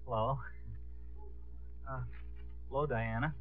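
A man acting a bashful, slow-witted, lovesick young man in a 1950s radio comedy, with a halting, eager manner. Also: mid-range voice, steady tone, close to an everyday voice. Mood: sheepish. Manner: halting, hesitant, drawling. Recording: muffled 1950s radio broadcast, music behind.

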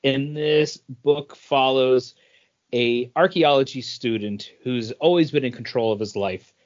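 A man's voice: mid-range voice, a little slow, highs cut off. A man co-hosting a podcast in a casual chat about comic books. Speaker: podcast co-host.

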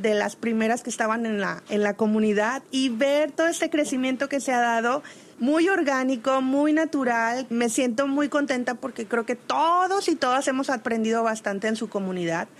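A woman hosting a podcast, introducing her guest in an admiring, somewhat halting way, often restarting her phrases. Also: a little high. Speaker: podcast host.

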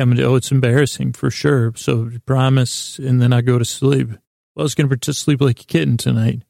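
A man hosting a podcast with a rambling, improvised bedtime comedy monologue, halting and stammering as he wanders off into digressions. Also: medium pitch, vocal fry, mellow, no echo, clean studio sound. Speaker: podcast host.

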